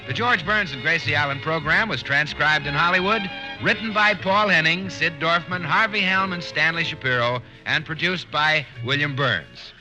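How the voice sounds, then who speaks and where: A man announcing credits and sponsors on a 1950 radio comedy in a smooth, upbeat delivery. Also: on the high side, moderate pace, low-quality recording. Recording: old radio transcription with music.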